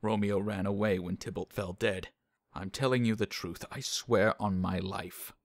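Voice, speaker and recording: An earnest, loyal young nobleman played by a man in a Shakespeare audio drama. His voice is fairly low and dramatic, captured very dry and close. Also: steady tone, moderate pace, creaky, no echo.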